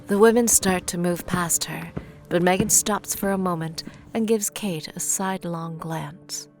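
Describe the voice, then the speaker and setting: A woman's voice: on the low side, a little slow, a little mellow, faint music behind. A woman reading scripted dramatic narration for an audio drama.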